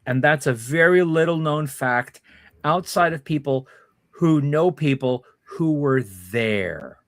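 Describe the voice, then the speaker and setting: A man hosting a casual political chat, explaining the history at length as he goes. His voice sits a little high. The sound is close and dry. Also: animated, slow, no room sound. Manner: rambling explainer, many fillers and restarts.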